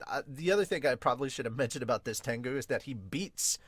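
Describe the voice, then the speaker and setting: A man hosting a casual manga reaction podcast, talking in an excitable, rambling way. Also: medium pitch, quick pace, no echo, studio quality. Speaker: podcast host and manga reviewer. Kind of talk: casual reaction commentary with read-aloud.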